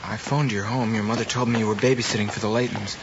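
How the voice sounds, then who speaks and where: A man's voice: on the low side, fairly even intonation, fast talker, dull audio, lightly acted. An actor with an American accent playing a troubled, guilt-ridden teenage boy, earnest and hesitant, in a hissy old television drama. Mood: sullen. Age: young adult. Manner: earnest, hesitant, clipped replies. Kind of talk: mid-century American television drama acting.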